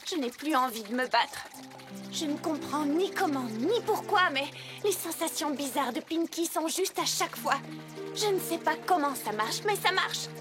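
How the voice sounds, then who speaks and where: Earnest young unicorn pony, high, expressive, a touch formal. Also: female voice, normal speed. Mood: resigned. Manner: earnest, articulate, a bit formal. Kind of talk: French cartoon dub. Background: cartoon music.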